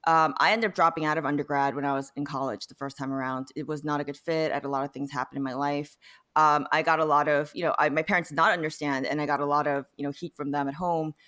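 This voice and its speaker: A woman's voice: sits low, fairly even intonation, quick pace, fairly bright tone. A woman telling her own story as an interview guest, speaking informally and conversationally, with many "you know"s and "like"s. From born in South Korea, later immigrated to the United States. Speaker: interview guest, data science professional.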